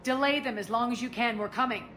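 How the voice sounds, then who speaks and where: A woman's voice: a little high, fast, fairly bright tone, a little hiss or hum, natural voice. A woman playing a female alien crime boss in a video game, with a commanding, wry, assured manner. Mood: urgent, commanding. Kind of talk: video game dialogue acting.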